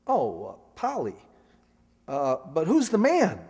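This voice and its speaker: Man playing earnest young suitor, highish swooping voice, pleading, old-time radio comedy, long hall reverb. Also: wildly swooping pitch, slow, darkish tone, slightly rough, exaggerated voice. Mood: eager, flustered. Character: earnest, flustered young son in love.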